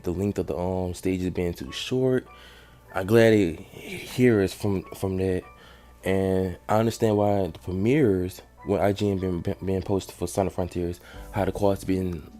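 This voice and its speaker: A man's voice: low-pitched, warm tone. A man hosting a reaction video, talking loosely in slang with fillers and swearing. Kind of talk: casual reaction commentary.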